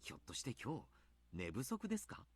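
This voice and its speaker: Kindly coach, wildly lilting voice. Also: male voice, mid-range voice, huge pitch swings, very fast. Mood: jovial. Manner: polite, chatty, lilting. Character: jovial, kindly male adult coach, playfully teasing. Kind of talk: Japanese visual novel voice acting.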